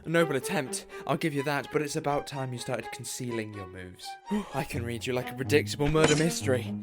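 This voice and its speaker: A man's voice: mid-range voice, a little fast, natural voice. A man playing an eccentric time-traveling detective. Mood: taunting. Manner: theatrical, excitable, mock-exasperated. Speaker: the Doctor. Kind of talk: fan audio drama acting. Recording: music score behind.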